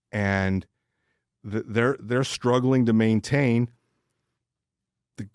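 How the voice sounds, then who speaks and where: A man's voice: lowish voice, darkish tone, no echo, clean studio sound. A man co-hosting a sports podcast, chatting casually and giving opinions in informal, sometimes profane language.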